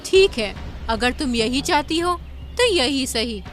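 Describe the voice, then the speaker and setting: A woman's voice: high voice, animated, takes it slowly, fairly bright tone, exaggerated voice. A woman voicing a solemn, mysterious magical eagle in a cartoon, proud and admonishing. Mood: angry.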